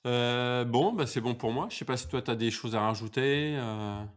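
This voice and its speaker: A male podcast host who interviews a guest in a relaxed, chatty way, with many fillers and repeated words. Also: medium pitch, normal speed. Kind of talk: casual interview, then a scripted outro.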